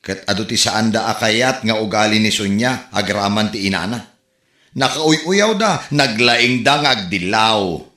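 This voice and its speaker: A man playing a gossiping neighbor in a comedic audio drama, jumping to shrill tones. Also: expressive, a little slow, sharp tone, reverberant.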